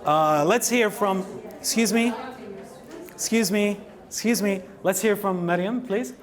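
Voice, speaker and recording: A man's voice: high voice, measured pace, crowd noise. A man presenting a workshop in a conference room, politely inviting comments and often repeating words. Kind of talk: leading a question session.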